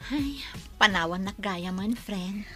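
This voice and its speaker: A woman's voice: medium pitch, slow pace, over music, lightly acted. A woman with an Ilocano accent playing a grateful, heartsick friend in a radio drama. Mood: wistful.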